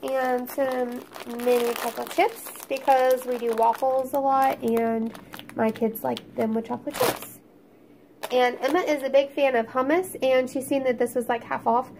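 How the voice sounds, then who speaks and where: A woman's voice: high voice, fairly even intonation, moderate pace. A woman in her thirties with an Oklahoma Southern accent, narrating a casual grocery haul in a chatty, homey way, her voice congested and a little crackly from a cold. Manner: chatty, homey, listing items. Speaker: YouTube vlogger, mom.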